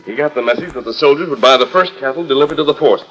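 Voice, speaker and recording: A man's voice: medium pitch, very fast, highs cut off, natural voice. A man acting a resolute, kindly masked western hero in a 1940s radio drama. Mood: commanding. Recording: old 1940s radio transcription disc.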